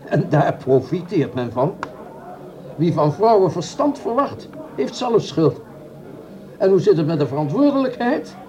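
An actor playing a condescending, scheming jobless doctor of law in a radio play, formally polite and pompous. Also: male voice, on the high side, sing-song, measured pace, a little mellow, muffled recording. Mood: cynical.

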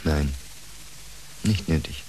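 An actor playing a weary, disapproving doctor in a radio drama, speaking in a terse, stern way. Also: male voice, rumbling low, takes it slowly, low-quality recording. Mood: reserved concern. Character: disapproving, weary male doctor, reserved and blunt.

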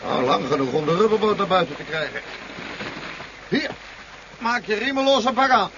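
An actor playing a curt military pilot with a clipped, dryly ironic manner in a 1970s Dutch radio drama. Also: male voice, high voice, animated, normal speed. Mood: relieved, uneasy. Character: male military pilot, curt and cool under pressure. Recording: muffled, noisy 1970s radio recording.

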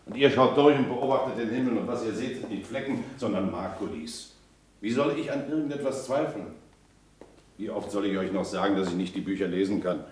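An actor as a deep, blunt craftsman, distant. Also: fairly quick, roomy sound, a little hiss or hum, natural voice. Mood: exasperated. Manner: blunt, grumbling, emphatic. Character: blunt, plain-spoken male lens grinder, unlearned, exasperated. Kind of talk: German radio play acting.

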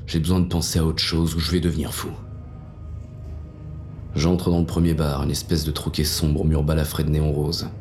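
Very deep, gravelly jaded inspector played by a man. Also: little pitch movement, normal speed, a little mellow. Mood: bitter, weary. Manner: world-weary, slangy, gruff. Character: jaded, hard-boiled male police inspector, bitter and shaken. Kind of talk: first-person noir audio drama narration.

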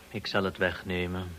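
A man's voice: low voice, a bit bright, a little hiss or hum, heavily acted. Formal, deferential male hotel waiter. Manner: obsequious, formal. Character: deferential hotel waiter with French forms of address. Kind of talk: radio drama acting.

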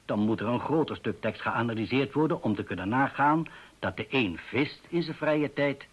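An actor playing a measured, learned male professor in a radio drama, speaking in a precise lecturing manner. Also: mid-range voice, animated, husky. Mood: matter-of-fact. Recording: muffled old radio drama recording.

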